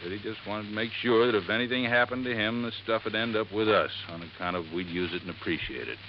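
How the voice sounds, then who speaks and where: A man's voice: lowish voice, fast, close to an everyday voice. An actor playing a folksy resort handyman in a 1950s radio drama, talking in a rambling, plainspoken drawl. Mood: earnest, folksy. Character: folksy middle-aged male desert resort handyman. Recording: muffled old radio recording with faint hiss.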